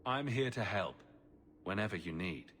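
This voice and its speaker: Helpful fantasy game hero, dry and casual, bouncy intonation, background ambience noise. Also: male voice, on the low side, lively intonation, normal speed, fairly bright tone. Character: helpful, dryly wry male adventurer hero. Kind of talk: scripted game cutscene acting.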